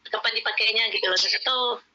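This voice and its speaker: A woman's voice: a little high, fairly even intonation, quick pace, bright, forceful tone, dull audio. A woman student, asking a long searching question in an online class.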